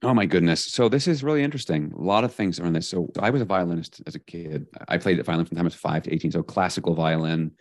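A man being interviewed as an author on leadership, talking in an energetic, emphatic way. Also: low voice, fast talker, few pauses, dry recording, studio quality. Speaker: podcast guest, leadership author. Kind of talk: casual interview talk.

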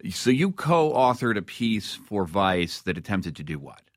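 A man's voice: lowish voice, sing-song, measured pace, no room sound, studio quality. A man hosting a news interview, introducing his guest and putting questions to him. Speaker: interview host.

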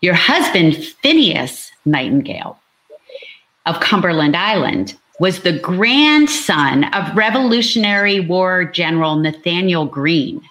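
A woman's voice: lowish voice, big pitch swings, measured pace, a bit bright. A woman co-hosting a live book talk, switching between chatting and reading aloud. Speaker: author and co-host reading out character fates.

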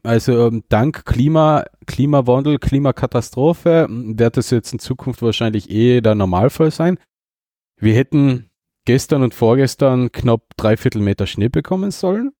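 A man's voice: medium pitch, unhurried, warm tone, no echo, clean studio sound. A man with an Austrian accent hosting a podcast in casual, rambling, jokey chat. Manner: jokey, self-mocking. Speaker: podcast host.

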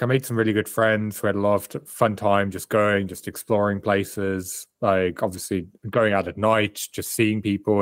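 A man's voice: lowish voice, dry recording. A man in his thirties from South Africa, a performance coach and author answering interview questions in a candid, reflective way over a remote call.

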